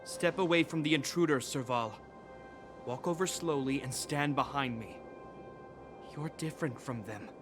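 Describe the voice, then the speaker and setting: A man's voice: mid-range voice, normal speed, natural voice. A man playing a dutiful young guard captain in a video game, speaking in a formal, commanding, grave way. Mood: grave, disappointed. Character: stern, dutiful young male guard captain, wounded but unyielding. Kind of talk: dramatic video game voice acting. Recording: game music under the voice.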